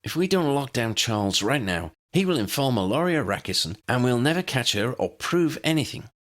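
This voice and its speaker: A man's voice: mid-range voice, very animated, brisk, voice pushed up, lightly acted. A man voicing a determined young female law-enforcement agent, earnest and deferential to her superiors, in an audiobook story. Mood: earnest, hesitant.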